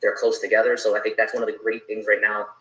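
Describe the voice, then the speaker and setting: A man's voice: on the low side, completely flat delivery, quick pace, a bit bright, reverberant, poor audio. A man with a Canadian accent chatting casually as a guest YouTuber on an interview show. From Toronto area, Canada.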